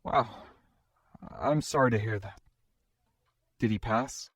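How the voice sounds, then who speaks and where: A man's voice: mid-range voice, takes it slowly, breathy edge, no room sound, studio quality. A man playing a polite, level-headed adventurer with formal wording in a fantasy audio drama. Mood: reasoning, sympathetic.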